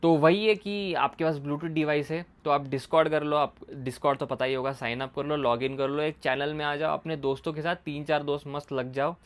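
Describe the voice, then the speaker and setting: Higher-pitched man explaining gear casually. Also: steady tone, moderate pace, few pauses, clear, resonant tone. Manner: conversational, mixes in English words, repeats points. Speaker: motorcycle gear reviewer and video host. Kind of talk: casual product explainer vlog.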